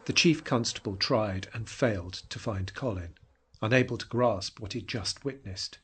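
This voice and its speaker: A man narrating a horror story in a measured, suspenseful and dramatic way. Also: fairly low pitch, a bit bright, husky. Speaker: narrator reading a short story.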